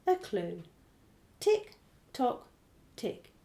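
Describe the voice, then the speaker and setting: Strong-willed wife for radio drama, pointed, insistent, higher pitch, animated delivery. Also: female voice, drawn-out words, full character voice. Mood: accusing. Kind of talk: radio play acting.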